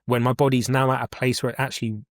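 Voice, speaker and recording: Male podcast host, 40s, mid-pitched, enthusiastic and emphatic, dry close recording. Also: little pitch movement, fast talker, no echo. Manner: enthusiastic, emphatic, repeats points. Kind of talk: casual podcast conversation.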